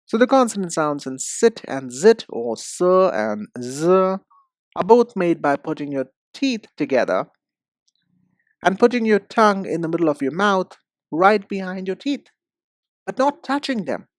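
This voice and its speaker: A male English teacher with an Indian accent speaks slowly and deliberately. He enunciates each sound with care, as in a repeat-after-me drill. The sound is dry and close, with little room to it. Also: high voice, very animated, no room sound.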